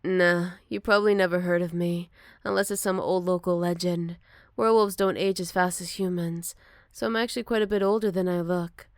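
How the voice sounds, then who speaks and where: A woman voicing a gentle, motherly older werewolf, wistful and protective, in a soft and caring ASMR roleplay. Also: on the low side, fairly even intonation, no room sound, studio quality, natural voice. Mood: wry, reflective. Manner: soft, caring, unhurried, slipping into whispers. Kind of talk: ASMR roleplay, intimate one-sided dialogue to listener.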